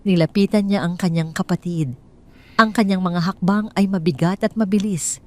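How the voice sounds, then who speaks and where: A woman narrating a dramatic first-person story in measured, cold tones. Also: lowish voice, fairly even intonation.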